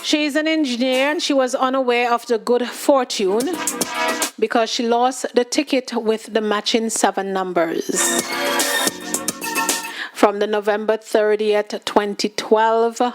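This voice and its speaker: A woman hosting a show, reading news items in an unhurried way and adding casual asides and fillers. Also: fairly high pitch, steady tone. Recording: music playing loudly behind.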